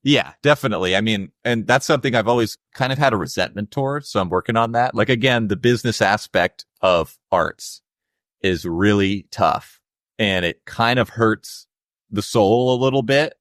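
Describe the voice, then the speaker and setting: Young man, very low voice, reflective musician guest, loose chatty delivery, dry close sound. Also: normal speed, a bit bright, no echo. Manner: reflective, loose, many fillers. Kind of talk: casual interview talk.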